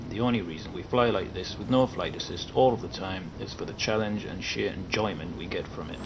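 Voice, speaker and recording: A lowish male voice with a northern English accent that sometimes drifts southern, reading a game tutorial. He explains patiently and hedges his points with careful asides. There is clear noise underneath the voice-over. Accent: northern English, with southern English at times. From England. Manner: measured, explanatory, wordy with careful qualifications. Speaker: video game guide maker. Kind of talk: partly read-out tutorial narration.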